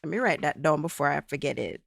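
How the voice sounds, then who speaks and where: A woman hosts a podcast in a chatty way, thinking aloud as she goes. Her voice is low-pitched, and the recording is dry and close. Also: animated, fast talker, vocal fry, no room sound. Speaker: podcast host. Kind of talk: podcast interview.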